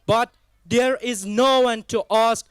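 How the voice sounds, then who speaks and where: A young man with an Afghan accent giving a personal speech at a community event, halting and deliberate, in short non-native English phrases. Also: very high-pitched, steady tone, smooth, no echo, studio quality. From Afghanistan, raised partly in Pakistan. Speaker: guest speaker at a community event. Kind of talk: public speech, personal testimony.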